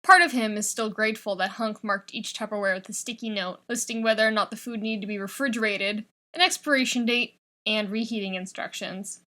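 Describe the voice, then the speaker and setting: A woman reading a piece of fiction aloud as an expressive scripted narration. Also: medium pitch, fairly quick. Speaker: fan fiction reader.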